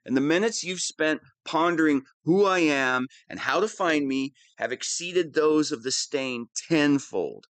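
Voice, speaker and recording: A man's voice: on the high side, expressive, unhurried, hardly any pauses, fairly bright tone, no echo. A man playing a whiny, pompous wannabe supervillain who is easily flustered, in a scripted comedic audio roleplay. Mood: defensive, insistent.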